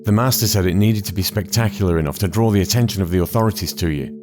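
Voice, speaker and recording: A man's voice: deep voice, racing, lightly acted. A man voicing an earnest, indignant young man in an audiobook. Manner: heated and accusing.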